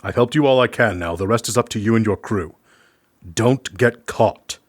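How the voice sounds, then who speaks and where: A man acting in an audio drama as a discreet medic, speaking in a measured, formal, coy way. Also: fairly low pitch, no room sound, clean studio sound, close to an everyday voice. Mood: calm, instructive. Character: dry, discreet male medic quietly aiding prisoners. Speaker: voice actor in a fiction podcast.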